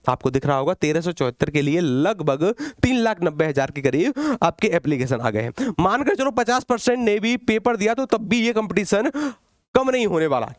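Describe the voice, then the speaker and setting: Male exam coach, notably high voice, close dry sound, emphatic question-and-answer teaching delivery. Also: no echo. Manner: direct, conversational address to students. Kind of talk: exam-update lecture to students.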